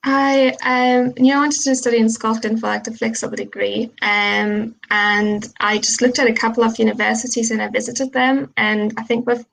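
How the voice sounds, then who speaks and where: Young woman, student, slightly high and gravelly, thoughtful and hesitant. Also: flat delivery, moderate pace, hardly any pauses, a bit bright, slight background noise, rough recording. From Germany. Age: young adult. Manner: thoughtful, hesitant, many ums. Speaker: undergraduate student panelist. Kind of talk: casual Q&A answers.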